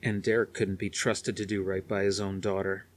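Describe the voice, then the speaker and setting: A man narrates a novel in a fairly low voice. The delivery is scripted audiobook storytelling, reading prose descriptions. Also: little pitch movement, normal speed.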